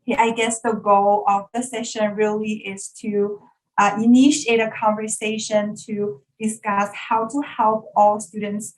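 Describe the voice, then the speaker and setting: A woman's voice: medium pitch, level tone, measured pace, darkish tone, poor audio. A woman from China, a native Mandarin speaker with a Mandarin Chinese accent, politely and formally presenting as the facilitator of an online workshop. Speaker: workshop facilitator, educational developer. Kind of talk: workshop introduction, prepared presentation. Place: online video call session.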